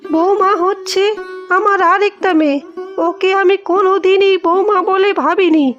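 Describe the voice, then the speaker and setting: A woman's voice: very high voice, fairly even intonation, normal speed, fairly bright tone. A woman voicing a two-faced, complaining elderly mother-in-law in a cartoon, with feigned sweetness. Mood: soothing, feigned affection. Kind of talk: cartoon dialogue acting. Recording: dull audio with music behind.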